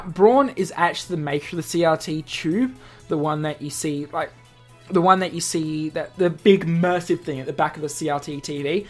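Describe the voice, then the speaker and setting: Man narrating a visual novel, music behind. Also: fairly high pitch. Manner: stumbles and rereads lines, explains asides. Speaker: let's player reading a visual novel aloud. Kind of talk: game narration with casual asides.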